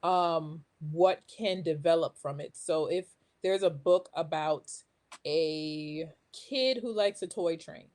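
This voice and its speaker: Woman casually explaining, slightly low voice. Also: slow, a little mellow. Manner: talks through ideas with self-posed questions. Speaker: guest, children's craft maker and educator.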